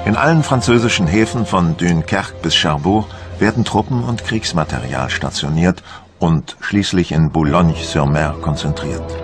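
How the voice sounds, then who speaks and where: Man acting Frenchman of the Napoleonic era, deep voice, scripted documentary narration, music behind. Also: moderate pace, natural voice. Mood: measured, earnest. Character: Frenchman of Napoleon's time recounting invasion preparations.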